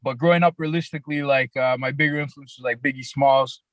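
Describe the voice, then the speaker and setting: Young man, toronto accent, raspy, laid-back and halting, mid-pitched, dry close mic. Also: steady tone, a bit bright, no room sound. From Canada, grew up in Toronto. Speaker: rapper guest. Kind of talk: casual podcast interview.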